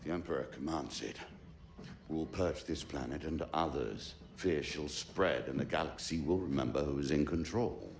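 Ruthless older male admiral for a game, deep gravelly voice, commanding and deliberate. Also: a little mellow, reverberant. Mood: cold, menacing. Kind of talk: video game cutscene acting.

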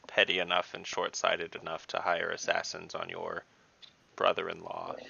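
A man with a low, heavily creaky voice talks through a game casually. He has a bright, forceful edge and thinks aloud in a halting way, through dull call audio. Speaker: tabletop game player. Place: indoor room, video call.

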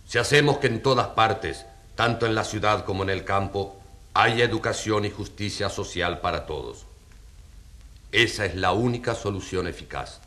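A man's voice: deep voice, sharp tone. An actor playing a solemn, authoritative male judge in a radio drama, speaking formally and declamatorily. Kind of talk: radio drama courtroom speech.

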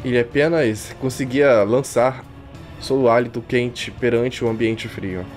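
A man with a Brazilian accent reading a visual novel aloud like a streamer, expressive and colloquial. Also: mid-range voice, unhurried. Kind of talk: dramatic live reading with casual asides. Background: game music.

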